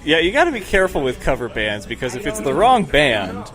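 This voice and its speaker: Male host, casual interviewer, mid-pitched, chatty, quick to laugh. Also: wildly swooping pitch. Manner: laughs often, casual, filler-heavy. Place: pizzeria comedy venue.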